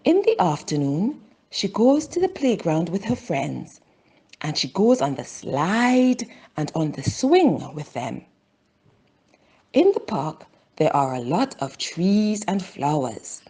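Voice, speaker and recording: A woman reads a children's story for language learners in deliberate short phrases. Her pace is very slow and her intonation swings widely, with a breathy, husky edge to the voice. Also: fairly low pitch, sing-song, mellow. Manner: deliberate, simple phrasing with pauses.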